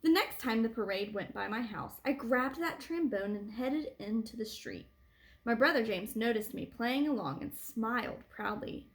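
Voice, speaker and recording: A woman reading a picture book aloud to children like a teacher, with animated phrasing and deliberate emphasis. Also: a little high. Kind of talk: expressive read-aloud storytelling for children.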